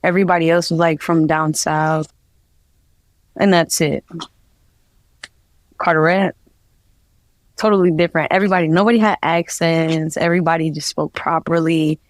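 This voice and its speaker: A woman's voice: deep voice, fairly even intonation, normal speed, pauses often, dry recording. A young woman from New Jersey, a guest artist, talking casually in an interview and rambling with many fillers. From New Jersey, USA.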